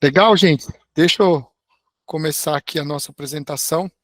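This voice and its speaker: Hoarse man lecturing online. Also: fairly high pitch, moderate pace, dry recording. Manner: friendly, tags sentences with "né?". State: hoarse, recovering from a sore throat. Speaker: engineering professor, guest lecturer. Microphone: video call.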